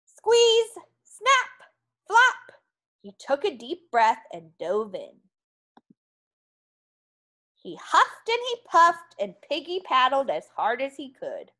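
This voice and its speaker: A woman teacher reading a picture book aloud to young children in an animated, expressive way. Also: sits high, slow, long pauses.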